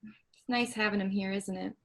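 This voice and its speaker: A woman reading the part of Candace, a caring farm wife who coaxes gently, with a Kentucky accent, in a staged play reading. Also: medium pitch, steady tone, moderate pace, natural voice. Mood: coaxing. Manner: gentle, coaxing.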